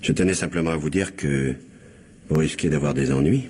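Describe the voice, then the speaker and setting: An actor playing a scheming, indebted blackmailer with falsely courteous, insinuating manners in a French radio mystery. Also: male voice, rumbling low, a little fast, a little mellow. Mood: veiled threat. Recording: faint background noise.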